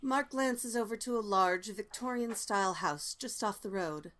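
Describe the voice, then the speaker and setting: A woman's voice: medium pitch, normal speed, fairly bright tone. A woman narrating stage directions from a script at a table read.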